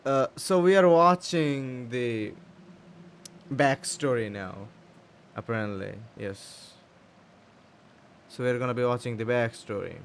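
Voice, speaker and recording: A man's voice: mid-range voice, takes it slowly, creaky, pauses often, a little hiss or hum. A man casually reacting to gameplay as a streamer, hesitant, thinking aloud and repeating himself. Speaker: gaming streamer.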